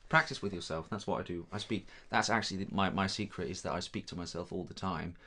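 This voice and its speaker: Brief: young Danish man, deep voice, relaxed chatty interviewee with frequent ums. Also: fast. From Denmark. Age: young adult. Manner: easygoing, many ums, chatty. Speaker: drama student guest. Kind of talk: casual chat.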